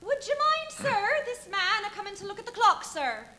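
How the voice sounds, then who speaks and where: Shrill, fussy innkeeper's wife. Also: female voice, very animated, normal speed, pushed and bright, a little hiss or hum, exaggerated voice. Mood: deferential, chatty. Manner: gossipy, exclamatory, deferential to guests, scolding the maid. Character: chatty, fussy, bossy English village innkeeper's wife. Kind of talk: live radio play acting.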